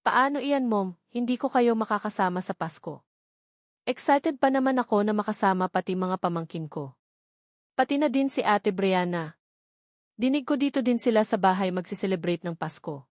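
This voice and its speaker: A woman's voice: mid-range voice, animated, moderate pace, no room sound, clean studio sound, lightly acted. A woman voicing a playful young woman in a novel, teasing and given to mock sulking.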